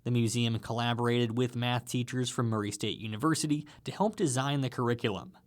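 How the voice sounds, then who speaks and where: A man's voice: mid-range voice, fairly quick. A man narrating a scripted radio feature as a reporter.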